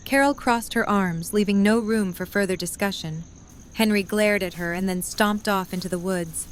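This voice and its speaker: A woman's voice: medium pitch, moderate pace, a little hiss or hum. A woman narrator reading a scripted story for an audio drama.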